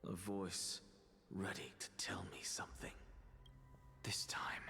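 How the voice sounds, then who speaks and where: Game role: weary, sinister truth-seeker, low breathy near-whisper, slow and deliberate. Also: male voice, pushed and bright, heavily acted. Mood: weary, wondering. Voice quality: low gravelly half-whisper. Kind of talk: scripted video game voice acting.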